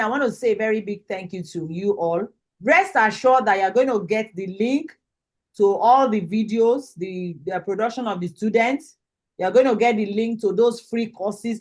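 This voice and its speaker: A woman with a Nigerian accent informally hosting an online event as its instructor on a video call. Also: medium pitch. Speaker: host and lead instructor of an online graduation. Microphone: video call microphone.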